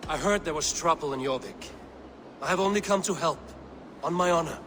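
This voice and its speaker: A male Viking warrior from a video game, voiced by an actor, steady and watchful. He talks with honourable, reassuring gravity. Clear outdoor ambience runs behind him. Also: on the high side, brisk, a little hiss or hum, close to an everyday voice. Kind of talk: video game dramatic acting.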